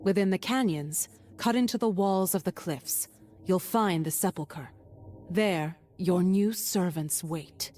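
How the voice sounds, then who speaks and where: A woman's voice: on the low side, takes it slowly, darkish tone, a little hiss or hum. A woman playing a resolute, persistent noblewoman in a video game, with formal, controlled, earnest delivery. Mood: persuasive, composed.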